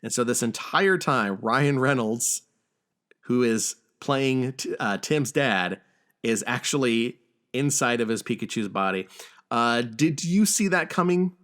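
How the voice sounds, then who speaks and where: Man casually recapping a film, halting delivery. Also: mid-range voice, lively intonation, fairly bright tone. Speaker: podcast host.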